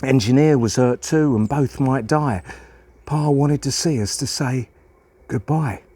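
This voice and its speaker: Man as an eager country child, slowly recited. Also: medium pitch, mellow, dry recording, pitched above the performer's own voice, natural voice. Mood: hushed, worried. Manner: rustic dialect, eager storytelling. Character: folksy country child telling about a beloved Pa. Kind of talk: dramatic poem recitation.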